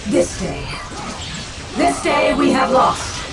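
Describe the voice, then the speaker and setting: A woman playing a solemn, proud alien war leader in a video game, with stately, measured, theatrical delivery. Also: high-pitched, big pitch swings, exaggerated voice. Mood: mournful, solemn. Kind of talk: dramatic video game acting. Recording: echoing, distant, music behind.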